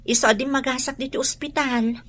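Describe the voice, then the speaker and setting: High-voiced young Filipino student. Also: female voice, steady tone, a little fast, fairly bright tone. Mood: polite. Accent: Ilocano Filipino. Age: young adult. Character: polite, caring young female student. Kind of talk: Ilocano radio drama acting.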